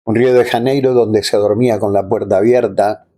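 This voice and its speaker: An Argentine-accented man telling personal stories casually as an interview guest, pausing to find words and adding little check-in tags. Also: fairly low pitch, fairly even intonation, warm tone.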